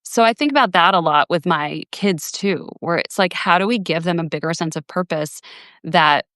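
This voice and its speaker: A woman's voice: on the low side, moderate pace, a bit bright, no echo, studio quality. A woman in her forties, hosting a casual live video conversation, reflective and thinking aloud with many restarts. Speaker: host. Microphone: video call.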